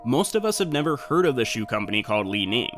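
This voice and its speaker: A man reading a scripted sports narration in a steady read-aloud delivery. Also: mid-range voice, fast talker, faint music behind.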